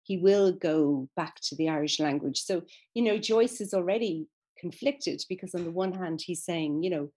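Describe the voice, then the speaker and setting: A woman's voice: fairly low pitch, moderate pace, mellow, breathy edge. A woman speaking as a guest in an interview, explaining at length in a thoughtful way with frequent fillers and restarts. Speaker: guest expert on literature. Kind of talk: conversational interview.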